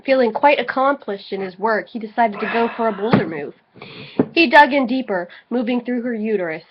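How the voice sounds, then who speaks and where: A woman's voice: fairly high pitch, lively intonation, fairly quick, very noisy. A tipsy woman giving a theatrical, mock-dramatic reading of comic fan fiction, prone to giggling. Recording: slightly dull lo-fi home recording.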